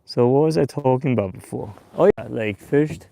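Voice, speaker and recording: A man in his forties who grew up in Taiwan and Australia, hosting a casual fishing livestream from a boat on a lake in a relaxed, chatty way. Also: medium pitch, normal speed, gravelly, dry recording. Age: 40s. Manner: relaxed and chatty, with bursts of excitement when a fish bites. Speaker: fishing show host.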